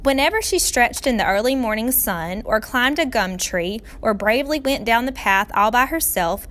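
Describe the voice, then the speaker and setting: A woman reading a children's storybook aloud like a teacher, with expressive, deliberate, dramatic phrasing for young listeners. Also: on the high side.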